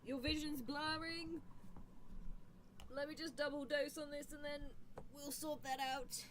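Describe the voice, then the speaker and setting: Female, higher register, improvised ASMR character work: possessive, exasperated anime mad scientist, slightly noisy livestream recording. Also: fairly even intonation, unhurried, a bit bright. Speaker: streamer performing character roleplay.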